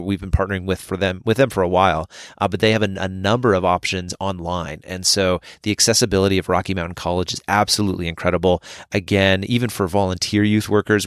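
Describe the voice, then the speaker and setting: A man's voice: low-pitched, a bit bright, clear, resonant tone, dry recording, studio quality. A man hosting a podcast, talking in an earnest, upbeat way as he introduces the episode. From Canada. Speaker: podcast host.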